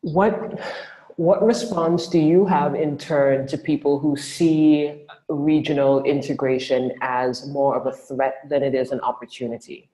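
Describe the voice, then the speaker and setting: A woman's voice: rumbling low, fairly even intonation, unhurried, mellow. A woman hosting a podcast, asking questions and responding in a conversational way. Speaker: podcast host. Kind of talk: conversational interviewing. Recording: roomy, echoey sound.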